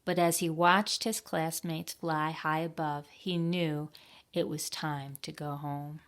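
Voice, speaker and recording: Female storytime reader, slightly low register, very leisurely pace, expressive, puts questions to young listeners. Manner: expressive, pauses to ask the children questions. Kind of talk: reading a picture book aloud to young children.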